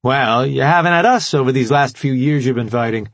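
A man's voice: medium pitch, fairly quick, no room sound, pitched above the performer's own voice, close to an everyday voice. A man voicing an eager, cocky, playful young kid brother as a character in an audiobook, with casual boastful delivery.

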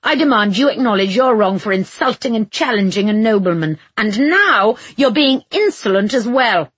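A woman's voice: mid-range voice, takes it slowly, fairly bright tone, slightly rough, no echo. A woman voicing a pompous, violent nobleman in an audiobook, haughty and commanding with archaic insults. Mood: indignant.

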